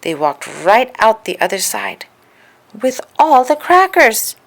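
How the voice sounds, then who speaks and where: A woman reading a children's story aloud in an expressive, deliberate narrating style. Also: a little high. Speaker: storybook reader.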